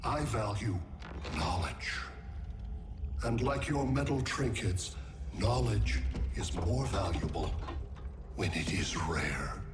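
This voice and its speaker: An actor playing a cold, haughty alien machine intellect in a video game, speaking in a formal, measured, imperious way. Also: male voice, on the low side, expressive, heavy background noise. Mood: cold menace. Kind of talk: cinematic villain acting in a video game. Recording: echoey, with music behind.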